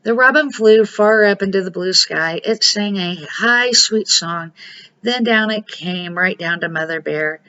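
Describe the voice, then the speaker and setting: Older woman, children's storybook read-aloud, amateur delivery, bright tone, dull lo-fi recording. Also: medium pitch, unhurried. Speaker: storybook reader.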